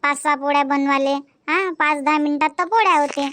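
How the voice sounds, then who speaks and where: Woman as a very high-voiced young village wife. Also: fairly even intonation, a little fast, fairly bright tone. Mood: agreeable, busy. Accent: Varhadi Marathi from Vidarbha. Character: young rural Marathi daughter-in-law and mother, busy, chattering. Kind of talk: amateur cartoon comedy dubbing in village dialect.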